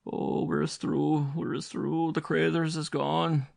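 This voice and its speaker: A man's voice: medium pitch, moderate pace, vocal fry, a bit bright, breathy edge, pitched above the performer's own voice. A man reading aloud voices a frantic older Irish peasant widow, wailing and pleading in an Irish accent.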